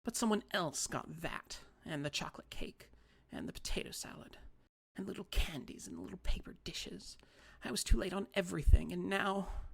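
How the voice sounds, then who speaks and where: Tiny spiteful old lady put on by the narrator, high breathy half-whisper, gravelly edge. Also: moderate pace, few pauses. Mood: aggrieved. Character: spiteful tiny old woman, petulant and wheedling. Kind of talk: audiobook character voice.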